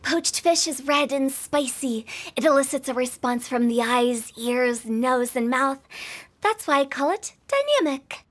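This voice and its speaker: A woman's voice: high voice, expressive, a little slow. A woman voicing a playful, self-assured, eccentric teenage girl in a video game, jaunty and theatrical, with music behind her. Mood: assured, explaining. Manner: jaunty, theatrical, chatty, mock-philosophical. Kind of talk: video game character acting.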